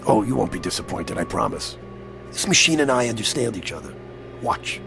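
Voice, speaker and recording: A man's voice: on the low side, very animated, fairly quick, background noise. An actor playing a sly, boastful devil posing as a genial old newspaperman in a radio drama, courtly and theatrical. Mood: gleeful, boastful. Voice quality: creaky, gravelly. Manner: courtly, theatrical, teasing, self-satisfied.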